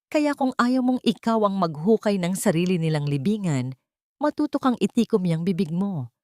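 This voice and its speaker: A woman's voice: mid-range voice, animated, moderate pace, a little mellow, no echo, natural voice. A woman voicing a cruel, scheming young daughter-in-law in an audio drama, by turns threatening and sugary. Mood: menacing, vengeful. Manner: menacing threats, sugary fake tenderness.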